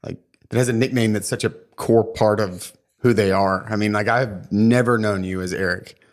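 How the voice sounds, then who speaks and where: A man with a fairly low voice co-hosts a casual podcast. He talks in a measured, hesitant way, restarting his sentences. Now and then he slips in a dry pun. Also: fairly even intonation. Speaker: podcast co-host, former lodge property manager.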